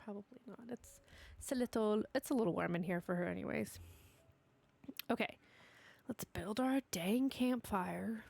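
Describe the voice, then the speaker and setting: A woman's voice: medium pitch, normal speed, vocal fry, pauses often, darkish tone, dry recording. A young woman streaming a game, stuffy and sniffly from allergies, chatting to herself and her dog as she builds. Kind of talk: casual gameplay commentary.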